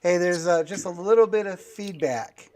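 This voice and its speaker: A man's voice: high-pitched, moderate pace, a little mellow. A man hosting a podcast in a casual, rambling, hesitant way, thinking aloud as he goes. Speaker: podcast host.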